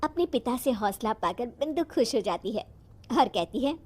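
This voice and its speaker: A woman with a high voice narrates a moral tale. Her delivery is scripted storytelling, setting out events as a narrator. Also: expressive, moderate pace.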